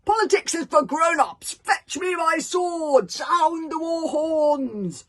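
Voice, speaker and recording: A woman voicing a hot-tempered male Viking chief who roars and shouts his orders. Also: low-pitched, flat delivery, moderate pace, creaky, husky, pitched below the performer's own voice, exaggerated voice. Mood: enraged. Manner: roaring, shouting.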